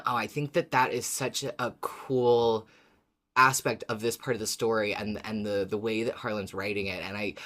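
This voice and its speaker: A woman's voice: a bass voice, fairly bright tone. A woman co-hosting a casual fan podcast, talking in an effusive, emphatic, sweary way. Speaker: podcast co-host.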